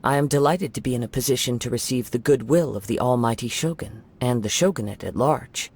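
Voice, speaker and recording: A man voicing a calm, reflective young wandering samurai who speaks in a measured, formal and courteous way, in a game cutscene. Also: mid-range voice, slightly rough. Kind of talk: video game cutscene dialogue.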